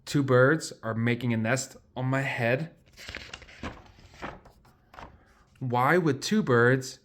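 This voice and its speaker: A man voicing an anxious, excitable picture-book elephant who exclaims and asks questions in disbelief. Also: mid-range voice, a little slow, slight background noise, voice pushed down, natural voice. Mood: worried.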